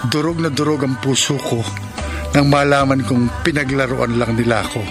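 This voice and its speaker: A man's voice: medium pitch, fairly even intonation, normal speed, close to an everyday voice. Casting: actor as a wounded, bitter young man, emotional, over music. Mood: grieving. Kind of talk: broadcast radio drama acting.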